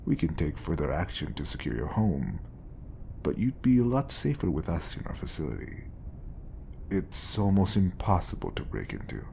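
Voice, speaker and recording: A man playing a smooth, scheming, obsessive bodyguard who feigns polite professionalism in an audio roleplay. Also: low-pitched, very animated, moderate pace, mellow, a little hiss or hum, heavily acted. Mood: earnest, persuasive.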